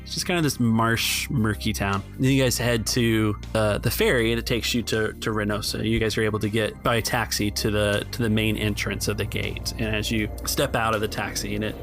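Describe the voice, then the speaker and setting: Halting male game master over music. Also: on the low side, steady tone, creaky, fairly bright tone. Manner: halting, searching for words. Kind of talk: improvised tabletop game narration.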